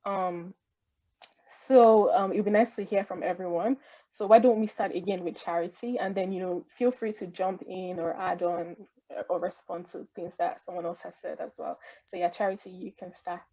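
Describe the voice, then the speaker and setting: A woman's voice: fairly low pitch, fairly even intonation, moderate pace, warm tone. A woman moderating an online panel over a video call, easygoing and rambling, with many fillers. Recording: dull lo-fi online call audio.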